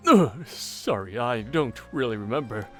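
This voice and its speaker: A man's voice: mid-range voice, animated, measured pace, fairly bright tone. An actor playing an earnest male investigator in a mystery visual novel, with game music behind. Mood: matter-of-fact. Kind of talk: English visual novel voice acting.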